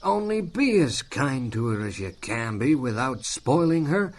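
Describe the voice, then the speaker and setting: An actor playing a gentle, folksy older bachelor farmer in a period drama, mild and hesitant in manner. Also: male voice, mid-range voice, sing-song, measured pace. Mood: mild, coaxing. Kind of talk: audio drama acting.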